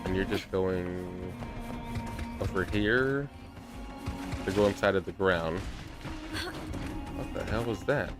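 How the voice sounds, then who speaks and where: Man, slightly deep voice, casual gaming commentary, laid-back, thinking aloud, clear game music in background. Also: slow, long pauses. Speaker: gaming video creator.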